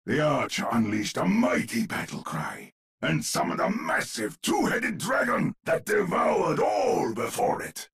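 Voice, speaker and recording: A grave old storyteller narrates a gothic video game tale. His voice is very husky and crackles with gravel. He takes his time over each phrase, savouring it with ominous, theatrical weight. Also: fairly high pitch, fairly bright tone, exaggerated voice. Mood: urgent, dramatic.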